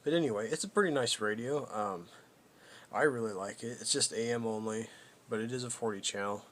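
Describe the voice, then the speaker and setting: A man giving a casual, unscripted product walkthrough as a hobbyist, haltingly thinking aloud as he goes. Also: fairly low pitch, fairly bright tone, slight background noise. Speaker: hobbyist presenter demonstrating a vintage CB radio.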